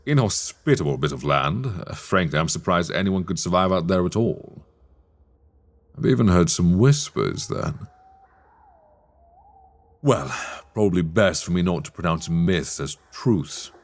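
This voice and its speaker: Man playing a deep, sly sorcerer schoolmaster. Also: lively intonation. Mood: probing, skeptical. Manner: theatrical, measured, wry, formal storybook phrasing. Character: sly, teasing magic academy master, mature man, dark fantasy. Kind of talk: intimate ASMR fantasy roleplay.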